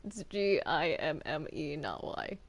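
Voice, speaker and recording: A woman plays a stubborn little cartoon demon, sassy and full of protest. The pitch swings widely while the pace stays unhurried, in loose game roleplay. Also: big pitch swings, heavily acted. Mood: playful. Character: stubborn, indignant cartoon demon Bendy, reluctant villain. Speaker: player in a Minecraft roleplay.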